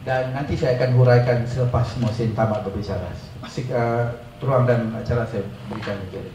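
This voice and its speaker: A man's voice: mid-range voice, steady tone, fairly quick. A man acting as an event moderator, handing over to a speaker. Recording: echoey room, distant mic, noisy background.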